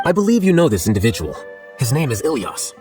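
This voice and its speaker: An actor playing a calm, blunt male scholar and official in a video game. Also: mid-range voice, quick pace, faint music behind, lightly acted. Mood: cool, matter-of-fact.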